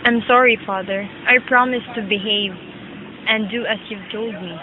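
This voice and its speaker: A woman's voice: mid-range voice, steady tone, normal speed, slight background noise, close to an everyday voice. A young woman acting a rich man's respectful, earnest son in an amateur student audio drama. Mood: apologetic. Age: young adult. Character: grown sons of a rich man, respectful and pleading. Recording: muffled amateur recording with music.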